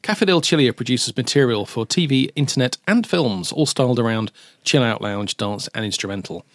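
A man's voice: mid-range voice, lively intonation, normal speed, fairly bright tone, no room sound. A man co-hosting a casual music podcast from a studio, cheery and chatty, often stumbling and restarting his words. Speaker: podcast co-host.

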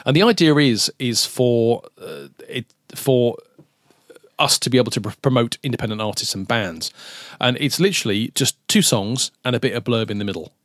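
A man co-hosts a music podcast in a casual, friendly way. His voice is plain and mid-pitched, recorded close and dry in a studio. He chats loosely and often restarts words mid-sentence. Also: normal speed, fairly bright tone, no room sound. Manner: chatty, cheery, often stumbles and restarts words. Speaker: podcast co-host.

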